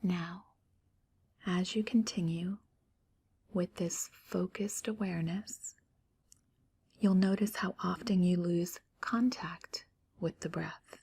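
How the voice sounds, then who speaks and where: A woman leading a guided meditation, her voice soft and breathy, almost a whisper. She speaks very slowly and calmly, leaving gentle pauses between phrases, in a slightly low register. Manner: slow, calm, gentle pauses.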